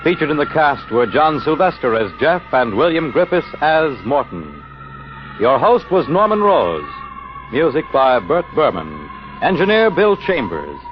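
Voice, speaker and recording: A man's voice: a little high, sing-song, unhurried. A man announcing scripted credits in the manner of a 1950s radio broadcast. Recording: muffled old radio broadcast. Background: prominent music.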